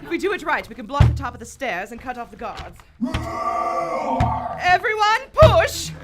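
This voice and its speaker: Woman playing a high-voiced, unflappable lady adventuress. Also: sing-song, a loud crowd. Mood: urgent. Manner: dry, brisk, commanding. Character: Lady Victoria, bold unflappable British adventuress and aunt. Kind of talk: live radio adventure serial acting. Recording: loud music under a live stage performance.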